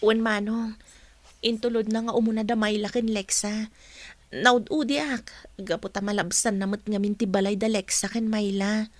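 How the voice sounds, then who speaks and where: An actress in a radio drama playing a young woman in love, speaking softly and earnestly. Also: mid-range voice, level tone, moderate pace, no room sound, lightly acted. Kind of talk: broadcast radio drama acting.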